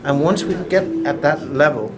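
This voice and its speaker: A man in his forties with a Danish accent, a loudspeaker designer explaining his engineering in an earnest, slightly halting way in a showroom. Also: on the high side, animated, very noisy. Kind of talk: technical interview answers. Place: indoor hi-fi showroom.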